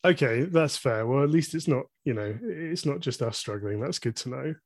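A man hosts a casual podcast interview at a middle pitch, enthusiastic and full of quick reactions. His voice is recorded dry and close to the mic. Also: expressive, no room sound. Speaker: podcast host.

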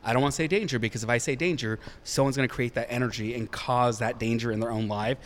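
A man's voice: medium pitch, steady tone, fast talker, slight background noise. A man in his thirties, a psychic medium, recounting his readings in a rambling, informal, conversational way. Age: 30s. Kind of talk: casual chat, storytelling.

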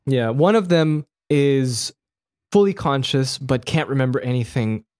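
A man's voice: medium pitch, unhurried, a little mellow. A man co-hosting a casual film review chat, rambling along in a joking way and correcting himself as he goes. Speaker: podcast co-host.

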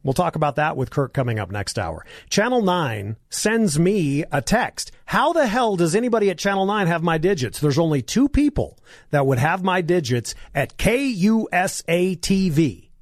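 Hoarse, lively-voiced male radio talk host. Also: fairly high pitch, moderate pace, no room sound, studio quality. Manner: combative, sarcastic ranting. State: hoarse, coughing.

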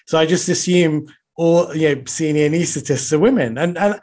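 Halting podcast host, slightly high voice. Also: male voice. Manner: warm, halting, many fillers and restarts. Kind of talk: podcast interview.

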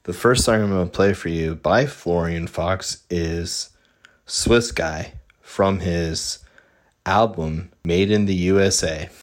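A man hosting a music show, introducing songs in a slow, unhurried way. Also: sits low. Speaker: radio show host.

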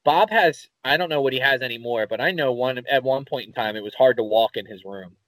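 A man with a Philadelphia accent, co-hosting a podcast in casual chat, telling rambling, animated stories and swearing casually. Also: mid-range voice, a little fast, dry recording, low-quality recording. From Philadelphia area, USA. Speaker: podcast co-host.

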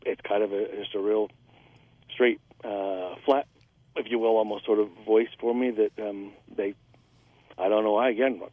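A man calling in to a radio talk show, a voice actor chatting with many hesitant pauses. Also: fairly low pitch, fairly even intonation, no room sound. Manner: halting, many filler pauses. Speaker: caller, voice actor. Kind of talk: call-in chat. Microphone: phone. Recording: tinny phone line.

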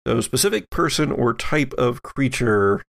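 A man's voice: mid-range voice, expressive, unhurried, creaky, a bit bright. A man running a tabletop roleplaying game as game master, explaining things conversationally.

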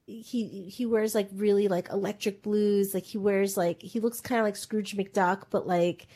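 A woman's voice: mid-range voice, steady tone, unhurried, mellow, husky. A woman retelling a reality show in casual chat, rambling and full of filler words. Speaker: podcast co-host. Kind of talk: casual pop-culture chat.

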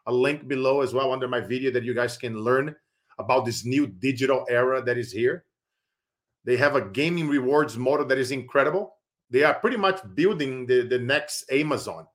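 A middle-aged man from Brazil with a Brazilian Portuguese accent, hosting a podcast and giving a persuasive, preachy pitch with many fillers. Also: a little fast. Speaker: podcast host. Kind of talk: motivational podcast monologue with sponsor plugs.

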